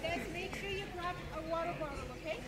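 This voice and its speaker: High-voiced woman organizer over loud crowd. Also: brisk. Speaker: event organizer. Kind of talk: announcing instructions to a group. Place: outdoor team-building event at a resort. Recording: echoey, distant mic, loud crowd.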